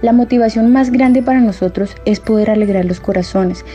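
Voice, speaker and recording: A woman's voice: fairly high pitch, fairly quick, mellow, muffled recording, soft background music. A woman, a band musician, speaking earnestly and from the heart in an interview. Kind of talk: interview answer.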